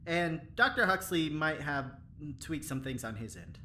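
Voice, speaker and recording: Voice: mid-range voice, expressive, measured pace, sharp tone, a little hiss or hum. An actor playing an eager, easygoing lab engineer in an audio drama, talking in a chummy, folksy way. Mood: casual, explaining. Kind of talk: scripted audio drama acting.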